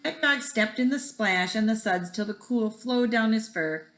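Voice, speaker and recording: A woman's voice: fairly high pitch, normal speed, fairly bright tone. A woman reading a children's picture book aloud expressively, with a rhythmic delivery that draws out repeated words. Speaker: read-aloud storyteller. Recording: echoey room.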